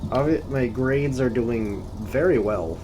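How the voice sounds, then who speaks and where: A teenage boy co-hosting a casual chat, hesitant with dry deadpan quips. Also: mid-range voice, takes it slowly. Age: teens. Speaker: co-host. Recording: noisy background.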